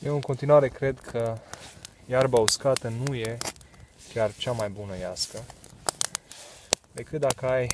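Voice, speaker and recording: A man in his thirties from Romania, explaining bushcraft in a relaxed, casual way in leafless woods, with fillers and short laughs. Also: mid-range voice, very noisy. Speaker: bushcraft vlogger. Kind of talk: casual outdoor tutorial. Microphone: camera close, handheld then set on ground.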